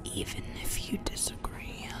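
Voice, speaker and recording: Woman playing a slow, whispering, seductive demoness. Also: natural voice. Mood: tender menace. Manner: breathy, lingering whisper, playful and menacing. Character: seductive, teasing female sleep paralysis demon, possessively affectionate. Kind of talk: ASMR roleplay. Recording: music behind the voice.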